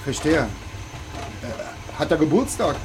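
A man's voice: on the high side, big pitch swings, slow. Hesitant, kind village man over background noise. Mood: consoling. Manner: hesitant, stammering, solicitous. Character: shy, kind, awkward village farmer with soft heart. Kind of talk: naturalistic German radio play dialogue.

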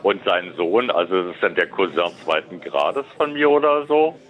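Mann als grummelnder Westberliner Frank. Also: male voice, deep voice, expressive, normal speed, voice pushed down. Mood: reminiscing. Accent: Berlin. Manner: complaining, rambling, folksy Berlin talk. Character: gruff grumbling male West Berliner named Frank. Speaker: studio guest expert. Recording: dull lo-fi radio sound.

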